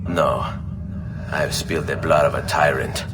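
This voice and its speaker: A low-voiced, solemn Italian assassin. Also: male voice, steady tone, noisy background. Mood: grave, solemn. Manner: measured, solemn, formal phrasing. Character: grave, resolute Italian Renaissance assassin, a grown man. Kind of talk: dramatic video game cutscene acting. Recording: music behind.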